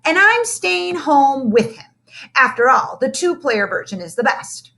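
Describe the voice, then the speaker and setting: A woman voicing a brash, pushy fourth-grade boy in a storybook, loud and insistent. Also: high-pitched, sing-song, unhurried, creaky, pitched above the performer's own voice, heavily acted. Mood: eager.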